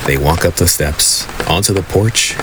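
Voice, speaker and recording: A man's voice: low-pitched, unhurried, heavy background noise. A man narrating a scripted radio play. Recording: loud music behind the voice.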